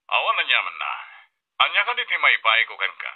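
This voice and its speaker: Through a tinny telephone filter comes an actor playing a reluctant male family friend in an Ilocano drama. His medium-pitched voice rises and falls very dramatically. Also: wildly swooping pitch, brisk. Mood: evasive, reluctant. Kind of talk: Ilocano radio-style drama acting. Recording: thin, tinny telephone line.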